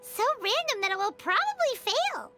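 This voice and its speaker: A woman voicing a small, childlike, food-loving fairy companion in a video game, squeaky and cheeky. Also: sing-song, normal speed, heavily acted. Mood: curious, skeptical. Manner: refers to herself in the third person, exclamatory. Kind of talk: video game character acting. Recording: music behind the voice.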